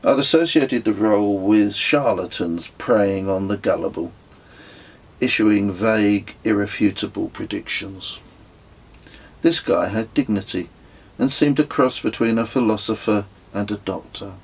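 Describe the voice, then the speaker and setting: Slow, gravelly man reading his travel memoir. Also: on the low side, rough recording. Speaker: author narrating own travel memoir. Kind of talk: scripted audiobook narration.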